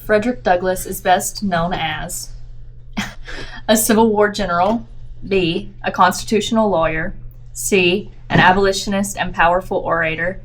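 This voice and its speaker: A woman's voice: fairly low pitch, fairly even intonation, normal speed, poor audio. A woman playing a board game, talking casually and reading out trivia questions.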